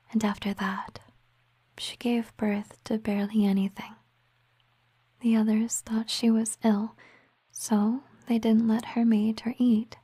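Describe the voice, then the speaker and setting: A woman voicing a gentle, wistful siren mermaid girlfriend in an intimate ASMR roleplay, speaking in a soft, breathy, hesitant whisper. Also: fairly high pitch, dry recording. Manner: slow, hushed, tender, hesitant.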